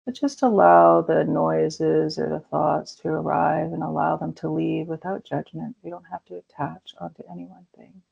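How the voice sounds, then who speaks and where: A woman leading a guided meditation in a slow, soothing way with gentle pauses. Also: sits low, steady tone, gravelly, breathy edge. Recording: dull lo-fi recording.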